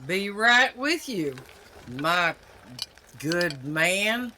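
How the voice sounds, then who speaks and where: An actress playing a tough, no-nonsense trading-post boss in an audio drama, blunt, dry and menacing. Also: female voice, on the low side, expressive, drawn-out words, pushed and bright, a little hiss or hum, heavily acted. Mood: curt. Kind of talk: dramatic audio fiction acting.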